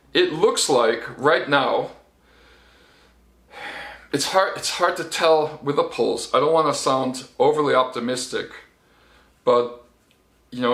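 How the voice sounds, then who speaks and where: A man's voice: mid-range voice, a bit bright. A middle-aged man, a journalist giving a casual spoken report, talking conversationally with many fillers and restarts. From United States. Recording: echoey room.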